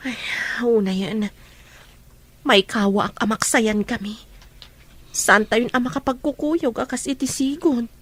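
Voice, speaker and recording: An actress plays a concerned friend in a romance drama, questioning and caring. Her voice is clearly high-pitched. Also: a little slow, pauses often. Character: concerned, questioning female friend caught between two friends.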